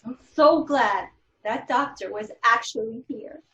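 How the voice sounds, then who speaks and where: An anxious, grateful mother in a television drama, read by a woman. Her high voice is earnest and hesitant, heard through dull, roomy remote audio. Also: a little slow, fairly bright tone. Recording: muffled, echoey remote call audio.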